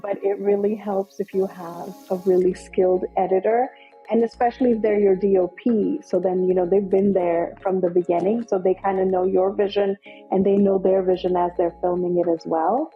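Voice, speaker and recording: A woman's voice: mid-range voice, flat delivery, moderate pace, slightly rough, dull audio, faint music behind. A woman film director from Nepal, speaking as an interview guest in an upbeat, enthusiastic way with many fillers. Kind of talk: casual interview.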